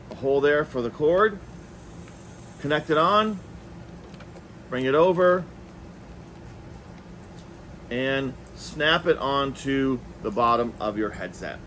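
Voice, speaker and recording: A man giving a casual hands-on product review, talking in a halting way and repeating words as he goes. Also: medium pitch, fairly bright tone, slight background noise.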